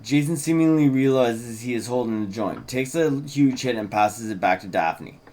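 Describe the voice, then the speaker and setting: A man's voice: mid-range voice, animated, moderate pace, a little mellow. A man narrating a script in a casual read-through, with informal asides. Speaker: co-writer reading a script at a table read.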